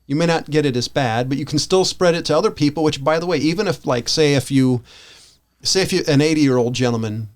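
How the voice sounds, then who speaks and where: A man with an American accent co-hosting a podcast, talking casually and giving opinions in a rambling, halting way that often backtracks. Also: fairly high pitch. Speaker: podcast co-host.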